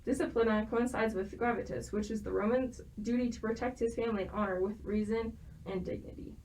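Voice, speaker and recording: A woman's voice: a little high, steady tone, a little fast, a little mellow, slight background noise, poor audio. A young woman, a student, explaining a topic from prepared notes, with frequent hesitations and restarts. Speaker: student guest expert.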